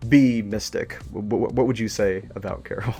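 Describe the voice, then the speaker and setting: Guy hosting a chatty interview, lowish voice, tentative and musing, bit of music in the back. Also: moderate pace, dark tone. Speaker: interview show host. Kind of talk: casual interview conversation.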